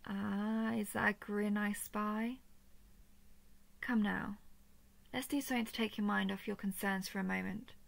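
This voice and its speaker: A woman playing a royal female dragon in an intimate audio roleplay, teasing and protective, with soft, slightly formal speech and a creaky voice. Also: medium pitch, steady tone, a little slow, pauses often. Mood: playful, teasing. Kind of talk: intimate scripted audio roleplay addressed to listener.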